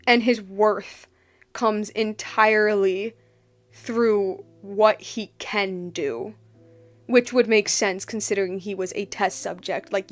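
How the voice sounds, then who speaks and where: A woman giving casual, unscripted game commentary as a streamer, rambling and emphatic, often repeating her phrases. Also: mid-range voice.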